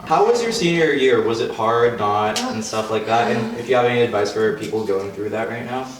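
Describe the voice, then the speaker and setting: A young male student hosts a relaxed group conversation in a dorm common room, captured from a distance. The room is very echoey and faint noise sits under his voice. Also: mid-range voice, a little fast. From Las Vegas, US. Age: young adult. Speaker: channel host, college student. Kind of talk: casual group chat.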